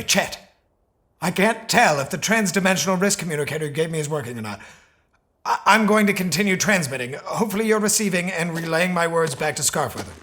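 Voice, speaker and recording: Halting, higher-voiced bewildered scientist. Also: male voice, fairly bright tone, a little hiss or hum. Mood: anxious, excited. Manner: halting, stammering, repeats himself. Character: earnest, bewildered male scientist stranded in a parallel universe. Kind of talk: audio drama acting.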